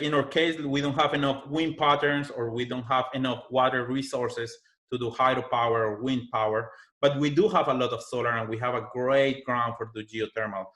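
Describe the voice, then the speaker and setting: A man with a Colombian accent, speaking English as his second language, presents over a webinar from a computer microphone. His voice sits at an ordinary middle pitch, and he works through his points with many ums, repeated words and restarts. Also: fairly bright tone. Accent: Colombian Spanish. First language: Spanish. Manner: speaks with fillers and restarts, non-native phrasing. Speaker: university sustainability manager. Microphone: computer mic on a video call.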